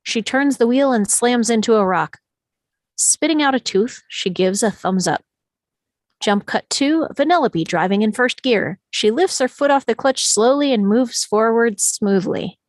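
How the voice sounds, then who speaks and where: A woman's voice: a little high, lively intonation, a little slow, no room sound. A woman reading stage directions as the narrator of a live script table read.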